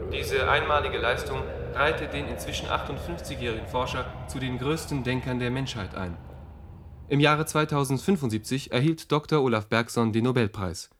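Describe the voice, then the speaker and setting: A man acting as a formal radio-play announcer of a future science broadcast reads scripted documentary narration. He is factual and measured, with faint noise on the recording. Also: medium pitch, lightly acted.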